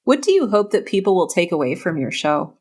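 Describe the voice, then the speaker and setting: A woman's voice: fairly low pitch, sing-song, fairly quick, a little mellow, husky. Enthusiastic woman hosting a conversational interview. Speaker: podcast host interviewing a writer.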